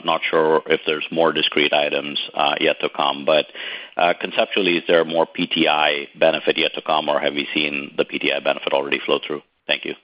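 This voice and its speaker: A man's voice: rumbling low, muffled recording. A man asking a hesitant question on an earnings call, with many ums.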